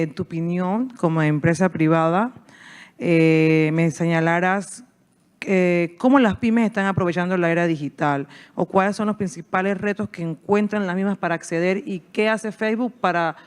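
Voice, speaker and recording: A woman moderating a conference panel, asking measured questions with hesitant fillers. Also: on the low side, a little mellow. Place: conference hall. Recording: long hall reverb.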